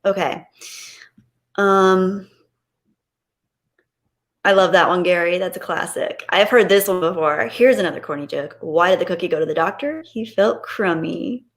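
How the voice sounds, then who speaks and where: A woman's voice: lowish voice, unhurried, long pauses. Chatty, appreciative woman hosting a livestream. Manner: gushing, appreciative. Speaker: livestream host. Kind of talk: casual chat, reading out viewer comments.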